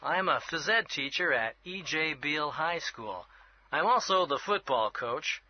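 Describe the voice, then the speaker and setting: A man's voice: a little high, animated, a little slow. A man in his thirties acting a sporty gym teacher introducing himself. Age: 30s. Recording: dull lo-fi audio.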